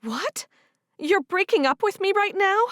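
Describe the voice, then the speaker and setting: A woman's voice: big pitch swings, moderate pace, a bit bright, no room sound, studio quality. A woman playing a bold, defensive young woman. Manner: pleading, high and emphatic.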